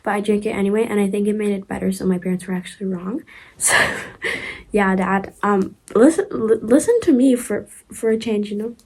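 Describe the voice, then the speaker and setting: A woman's voice: mid-range voice, a little fast, darkish tone, slightly rough. A young woman with a Ukrainian accent, telling a rambling storytime vlog full of fillers and restarts. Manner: chatty, rambling, many fillers and restarts, giggly asides. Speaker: vlogger.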